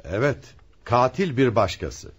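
An actor playing a brisk, authoritative police commissioner interrogating suspects in a radio play. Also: male voice, medium pitch, sing-song, slightly rough. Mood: brisk, businesslike. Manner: commanding, deliberate, theatrical.

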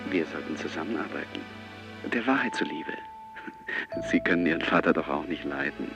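A man's voice: a bass voice, animated, fairly quick, creaky, over loud music, exaggerated voice. An actor playing an earnest, awkward, persistent reporter in a radio drama, hesitant and pleading as he talks. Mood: urgent, persuasive. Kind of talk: naturalistic German radio drama acting.

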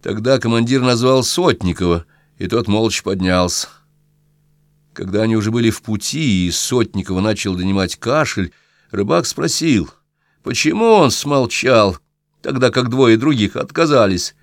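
Low-voiced man narrating a novel, close and dry. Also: expressive, moderate pace, dry recording. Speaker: audiobook narrator. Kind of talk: scripted literary narration.

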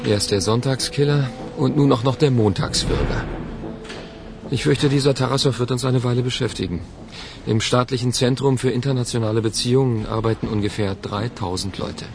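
Man acting a gravelly, matter-of-fact detective. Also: mid-range voice, steady tone, a little fast, music in the background, close to an everyday voice. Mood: wry. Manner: matter-of-fact, clipped, wry. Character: matter-of-fact male police detective, wryly gloomy. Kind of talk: radio play acting.

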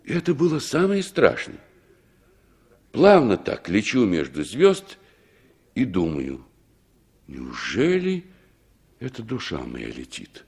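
A middle-aged man, a former war commander, in a Soviet radio play of the sixties. His low, husky voice moves slowly and reflectively. The pitch rises and falls widely as he relives his memories. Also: heavily acted. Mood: haunted, reflective. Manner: unhurried, expressive storytelling with pondering pauses. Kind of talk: 1960s Soviet radio drama acting.

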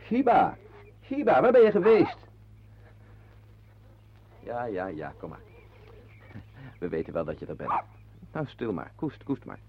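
A man's voice: mid-range voice, sing-song, unhurried, long pauses, slight background noise. An actor playing a wry, brooding henpecked husband in a Dutch radio play, confiding his musings to the listener. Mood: coaxing. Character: wry, brooding henpecked husband, animal-loving former artist. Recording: muffled, band-limited old radio play recording.

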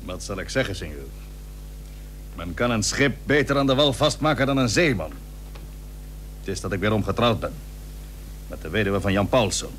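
An actor playing a plain-spoken, reluctant but dutiful seventeenth-century sea captain in a radio play, with blunt, weighty, archaic Dutch diction. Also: male voice, lowish voice, lively intonation, moderate pace, long pauses, fairly bright tone. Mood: wry, subdued. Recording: old radio recording with hum and hiss.

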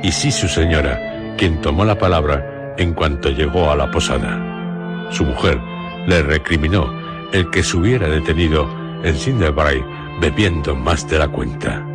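Extremely deep male storyteller over music. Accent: Spain. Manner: measured, solemn, phrase by phrase. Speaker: narrator. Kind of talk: scripted radio drama narration. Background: prominent music.

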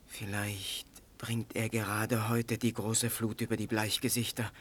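An actor playing a proud, solemn Lakota warrior father who recounts the past in a German radio play, in measured storytelling. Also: lowish voice, level tone, fairly bright tone, husky. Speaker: narrator and lead character.

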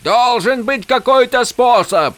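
Man playing a desperate warrior prince, high strained cries. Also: big pitch swings, slow pace, exaggerated voice. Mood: defiant. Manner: shouting, pleading, impassioned. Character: desperate, defiant warrior prince crying out to god.

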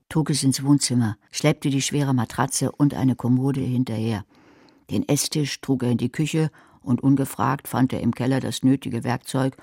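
Husky, gravelly, sardonic narrator. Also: female voice, rumbling low, dark tone, no room sound, full character voice. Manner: dry, sardonic. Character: dry, envious female narrator telling her own crime story. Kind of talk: scripted first-person radio drama narration.

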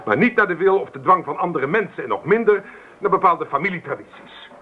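An actor playing a restless, stubborn young student husband from a farming family in a radio play, sounding insistent and self-justifying. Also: male voice, sits high, expressive, fairly quick. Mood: defiant, earnest. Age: young adult. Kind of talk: Dutch radio play acting. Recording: noisy, thin, phone-like old radio recording.

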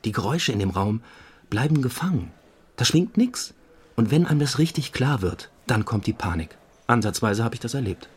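A man playing a police investigator in an audio drama, reporting in a factual, measured, dry-witted manner. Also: medium pitch, sing-song, slightly rough. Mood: uneasy recollection. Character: matter-of-fact male police investigator giving a case report. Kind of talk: German audio drama dialogue.

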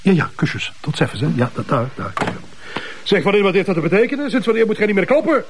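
An actor playing a pompous, evasive police chief with a Flemish accent and a bossy manner. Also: male voice, high voice, big pitch swings, fast talker, slight background noise. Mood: coaxing, flustered. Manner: bossy, defensive, interjecting tags. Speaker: actor in a police drama series.

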